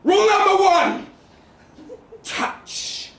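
A man acts out a cocksure soldier from a short story, lecturing like a self-appointed master of seduction. The delivery is pompous and emphatic, pitched high and declaimed into an echoey live room. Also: drawn-out words, gravelly, a bit bright, full character voice. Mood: pompous instruction.

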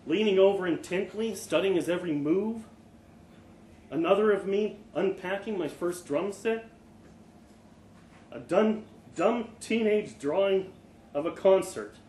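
Man, fairly high-pitched, dramatic story reader, expressive, echoey room. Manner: expressive, acts out dialogue. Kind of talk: dramatic live short story reading. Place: live literary event in an indoor venue. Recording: echoey room, live event, background noise.

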